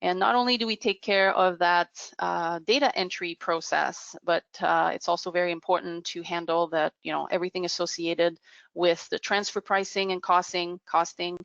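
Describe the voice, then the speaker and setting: Woman webinar presenter, lowish voice with gravelly fry, unscripted explanatory delivery, dry and slightly muffled audio. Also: fairly even intonation, moderate pace, fairly bright tone, no room sound. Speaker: webinar presenter giving a software demo. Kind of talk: unscripted software demo narration.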